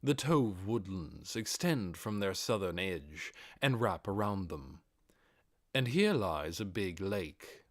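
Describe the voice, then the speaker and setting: Male reader as fantasy audiobook undead overlord: low, measured, commanding, explanatory. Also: lively intonation, no echo, clean studio sound, full character voice. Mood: measured, explaining.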